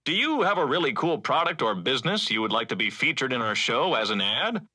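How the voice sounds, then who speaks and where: An actor playing a showy announcer in the style of old-time radio drama. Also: male voice, medium pitch, big pitch swings, a little fast, sharp tone, full character voice. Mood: promotional.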